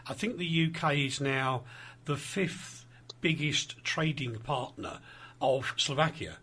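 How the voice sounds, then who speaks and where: A man's voice: medium pitch, expressive, slow, sharp tone, breathy edge. A man hosting a podcast, chatting casually and adding facts from memory with some hesitation. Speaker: podcast host. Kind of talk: casual chat.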